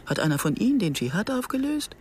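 A woman's voice: mid-range voice, big pitch swings, fast talker, a little mellow, slight background noise, lightly acted. An actress playing a passionate, argumentative woman who tells her own story in a German radio drama, sardonic and insistent. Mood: sarcastic, angry.

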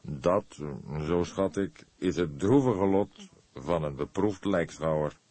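A man voicing a grey-haired veteran detective in an audiobook, thoughtful and courteous, with deliberate, earnest phrasing. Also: deep voice, lively intonation, a little mellow, dry recording, full character voice. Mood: wry.

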